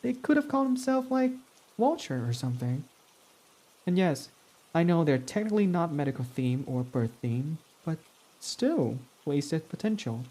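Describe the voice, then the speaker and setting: Guy doing a snarky villain dude, mellow low-key tone, all sarcasm and eye-rolling. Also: big pitch swings, normal speed. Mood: snide. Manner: sarcastic, mocking, dismissive. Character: snarky, prickly young male villain. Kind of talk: ASMR roleplay audio.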